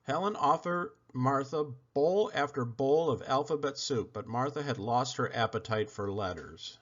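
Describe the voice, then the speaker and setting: A man narrating a children's storybook aloud in an expressive read-aloud style. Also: mid-range voice, moderate pace, muffled recording. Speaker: storybook reader.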